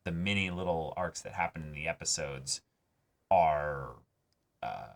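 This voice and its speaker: A man co-hosting an anime reaction show, talking casually and haltingly, with emotional investment and informal language. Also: a bass voice. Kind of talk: casual discussion of an anime episode.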